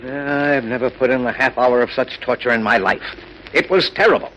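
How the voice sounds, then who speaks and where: An actor playing a middle-aged, blustering and flustered city mayor and newspaper chief in 1940s radio style. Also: male voice, mid-range voice, normal speed, pushed and bright, natural voice, rough recording. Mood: dejected, bitter. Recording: old radio recording with faint noise.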